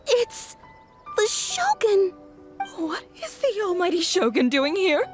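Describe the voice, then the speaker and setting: A woman's voice: extremely high pitch, wildly swooping pitch, slow pace, over music, heavily acted. A woman playing a startled, awestruck townsperson in a video game. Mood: shocked, awed.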